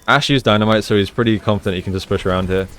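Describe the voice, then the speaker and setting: A man's voice: lowish voice, rapid-fire, a bit bright. A man giving casual, conversational commentary as he analyses gameplay like a coach, explaining each move.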